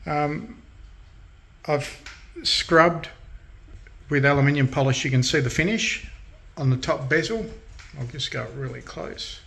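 Man, halting hands-on demonstrator. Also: fairly high pitch, vocal fry, sharp tone. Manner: unscripted, halting, matter-of-fact. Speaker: host of a hobby radio restoration channel. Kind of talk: casual hands-on demonstration talk. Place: indoors at a workbench in a room.

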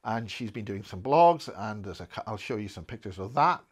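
A man's voice: fairly low pitch, animated, fairly quick, slightly rough, dry recording, clean studio sound. An older man hosting a casual video blog, talking in a rambling, hesitant way with many fillers. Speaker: host. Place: indoor studio, then outdoors by a road.

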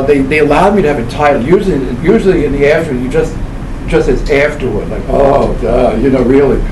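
An older man, around his seventies, gives an informal lecture with emphatic, repeated phrases. He is picked up from a distance in a reverberant room. A clear hum of background noise sits behind his mid-pitched voice. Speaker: professor presenting a book. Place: small indoor event room. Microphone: camera a few metres away.

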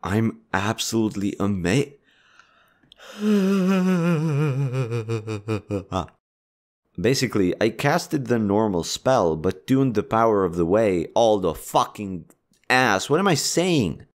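A man's voice: lowish voice, sing-song, slow, pauses often. A man voice acting in bloopers, stumbling over his lines and swearing at himself. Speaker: voice actor. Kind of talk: bloopers from recording a script.

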